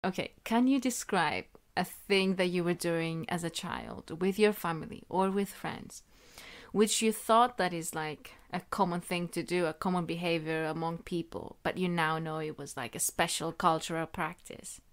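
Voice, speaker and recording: A woman's voice: lowish voice, moderate pace. A woman hosting a podcast, introducing her guest and reading out interview questions. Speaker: podcast host.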